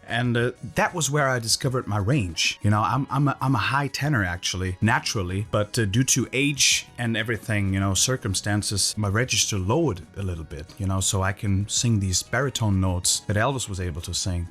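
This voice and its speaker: A man in his thirties from Germany, with a German accent and German as his first language, casually telling his own story to camera with loose, filler-heavy talk. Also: medium pitch. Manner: relaxed, loose, lots of "you know" and "uh" fillers. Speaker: Elvis tribute singer and channel host.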